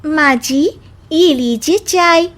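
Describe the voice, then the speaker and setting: High, sweet witch bride, as a storyteller voices her. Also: takes it slowly. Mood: sweet, obliging. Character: sweet-talking female witch disguised as dutiful daughter-in-law.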